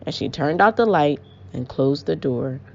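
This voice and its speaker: A woman reading aloud to young children as host, with an expressive, measured storybook delivery. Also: sits low, vocal fry. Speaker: children's book reader, host. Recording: muffled audio with prominent music.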